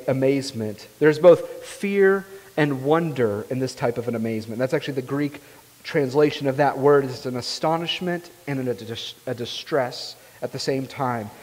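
A man's voice: medium pitch, darkish tone. A young man preaching a sermon as pastor, earnest and emphatic, often asking his listeners "right?". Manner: earnest, rising emphatically on key phrases, often asks "right?". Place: church sanctuary, large room. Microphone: far camera, speaking at a pulpit. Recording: long reverb of a church hall.

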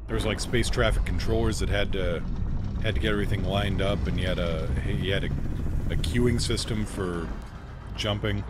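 Low-voiced man streaming with game noise. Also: fairly even intonation, normal speed. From Iowa. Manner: rambling, joking, thanks viewers. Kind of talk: casual live-stream chat while playing.

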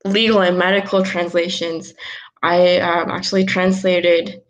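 A woman in her twenties, a guest on a video call from a small room at home, explaining ideas unhurriedly with frequent ums and soft restarts. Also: fairly low pitch, flat delivery, unhurried, muffled recording. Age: 20s. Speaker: guest, philosopher. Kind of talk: casual video-call interview.

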